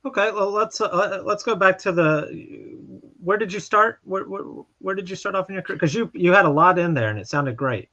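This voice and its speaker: A man's voice: high voice, moderate pace, few pauses, bright, forceful tone. A man co-hosting a live stream, playing the interviewer in a hesitant, filler-heavy way. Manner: hesitant, with fillers and repeated words. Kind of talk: casual live-stream chat and role-play interviewing. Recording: dull lo-fi sound.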